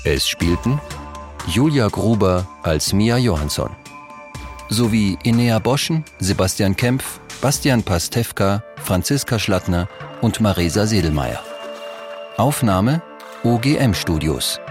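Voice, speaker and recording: A man's voice: fairly low pitch, animated, a little slow. A man reading scripted credits as an announcer. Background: music.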